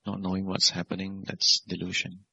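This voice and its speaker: A man leads a guided meditation in a low, very husky voice with a gravelly creak. His phrasing is measured and simple, with gentle questions to the meditator. The recording is a little dull and lo-fi. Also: monotone, no room sound. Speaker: Buddhist monk and meditation teacher.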